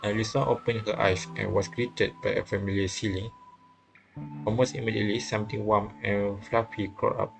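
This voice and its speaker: Low-pitched man, fry in the voice, narrating visual novel text, halting, repeats phrases, faint music behind. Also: level tone, low-quality recording. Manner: often stumbles and repeats phrases. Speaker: host reading a visual novel aloud. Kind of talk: halting read-aloud narration of game text.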